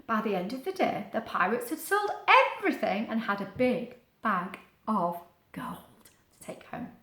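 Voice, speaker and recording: Woman reading a kids book out loud, super lively, chats to the listener as she goes, roomy echo. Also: medium pitch, unhurried. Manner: expressive, explains words and asks the listener questions. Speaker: storybook reader. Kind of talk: children's read-aloud with chatty asides.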